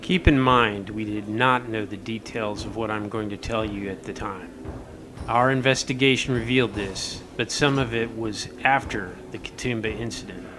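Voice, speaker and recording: A man's voice: lowish voice, normal speed, noisy background, close to an everyday voice. A man playing a seasoned, modest starship captain recounting his missions in a sci-fi audio drama. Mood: grave storytelling. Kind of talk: audio drama acting, storytelling. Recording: music bed under the voice.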